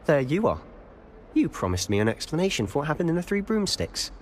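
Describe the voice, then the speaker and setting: Young student, quick, forthright, animated. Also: male voice, on the high side, a little hiss or hum. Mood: urgent. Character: young male student, bold loyal friend.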